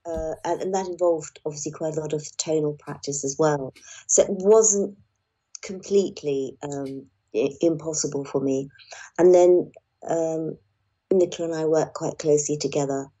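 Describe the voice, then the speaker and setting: A woman's voice: low-pitched, measured pace, mellow, slightly rough, rough recording. A woman speaking thoughtfully and hesitantly as an audiobook narrator being interviewed. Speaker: audiobook narrator, podcast guest. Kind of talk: reflective interview talk.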